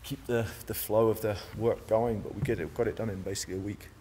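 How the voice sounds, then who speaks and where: A man's voice: fairly low pitch, steady tone, quick pace, dark tone, long reverb tail, slight background noise. A man, a church cofounder, answering interview questions in a casual, rambling way inside a large chapel. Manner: easygoing, rambling, many restarts.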